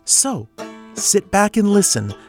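A man's voice: sits high, big pitch swings, slow. A man hosting a podcast, reading a scripted introduction. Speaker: podcast host, composer. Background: plucked guitar music.

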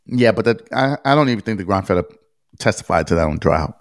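Man, podcast co-host, very low voice, blunt and plain-spoken, reads legal text aloud, dry close recording. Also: lively intonation, quick pace, no echo. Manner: explains a court ruling at length, reads passages aloud, quizzes his co-host; blunt, with casual swearing. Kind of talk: casual legal discussion.

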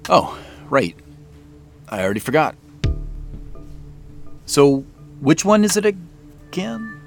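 A polite, curious customer in an audio drama. He speaks a little slowly and hesitantly, with widely varying pitch and faint music behind him. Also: sing-song, heavily acted. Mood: distracted, polite. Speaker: voice actor. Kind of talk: naturalistic audio drama acting.

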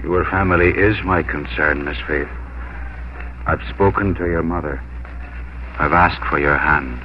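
Bashful, plain-spoken suitor farmer, low voice, formal and halting, muffled vintage radio recording with light noise. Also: male voice, steady tone. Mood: tender, hesitant. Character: earnest, bashful farmer courting a young woman. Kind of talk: 1950s radio drama acting.

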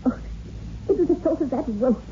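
A frightened woman in a radio chiller. Her high voice is soft-edged and dark in timbre, anxious and halting. It echoes on a muffled old recording. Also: lively intonation. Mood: uneasy. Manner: anxious, hesitant. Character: frightened, trusting young heiress in haunted house. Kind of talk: 1960s radio horror acting. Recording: dull, reverberant, noisy old radio recording.

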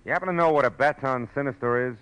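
A man's voice: mid-range voice, very fast, a bit bright, clean tone, no room sound, natural voice, rough recording. An actor playing a wry, world-weary private detective, terse and sardonic, telling his case in a 1940s radio drama. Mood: brisk. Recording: vintage radio drama with music.

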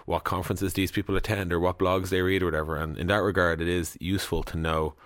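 A man with a deep, low voice asks questions loosely and casually, peppering his sentences with "like." The recording is dry and close, with no room sound around him. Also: little pitch movement, fast, a bit bright, no room sound. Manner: casual, heavy use of "like" and "you know". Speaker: interviewer, podcast host. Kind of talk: conversational podcast interview.

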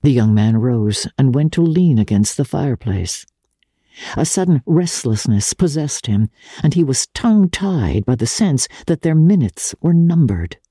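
Low-pitched woman narrating, dark and husky. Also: dry recording, studio quality. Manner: measured, theatrical storytelling. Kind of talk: dramatic reading of classic fiction.

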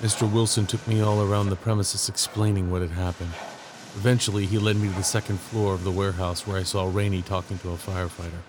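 A composed male investigator played by a man with a fairly low voice. He tells his story in terse, matter-of-fact audio drama narration, over faint ambient scene noise. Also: flat delivery, quick pace, natural voice. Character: composed male federal agent investigating a warehouse blast. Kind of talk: first-person audio drama narration and dialogue.

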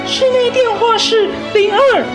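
Super high whiny little schoolgirl done by a woman, begging like a spoiled kid, radio play, loud music behind. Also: fairly even intonation, a little slow. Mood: wheedling. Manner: wheedling, childish. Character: spoiled whiny schoolgirl daughter pleading with father. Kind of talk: comic radio drama acting.

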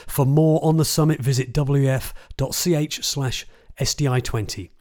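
A male podcast host delivers scripted narration in a mid-pitched voice, with faint music behind him. He introduces and links segments in the manner of a prepared radio-style presenter. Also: a little mellow.

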